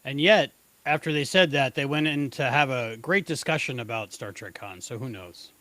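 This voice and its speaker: A man's voice: mid-range voice, moderate pace, sharp tone, no room sound. A man co-hosting a casual podcast, bantering in a playful, teasing way. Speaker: podcast co-host.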